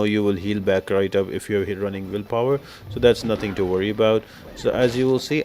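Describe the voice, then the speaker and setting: Low-voiced man explaining, noisy recording. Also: a little mellow. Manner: explanatory, step-by-step. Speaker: gaming video host. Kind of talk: casual game guide commentary.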